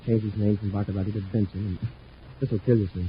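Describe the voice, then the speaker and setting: A man's voice: on the low side, fairly even intonation, quick pace, natural voice. A man playing an eager, deferential young space cadet in a 1950s radio drama. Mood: eager, chatty. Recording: muffled old radio recording, faint noise.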